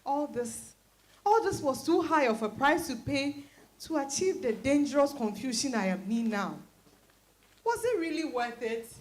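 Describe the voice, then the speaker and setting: A woman playing an educated, conflicted daughter, giving a reflective, deliberate monologue in a dramatized reading. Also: high voice, lively intonation, low-quality recording. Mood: reflective, rueful.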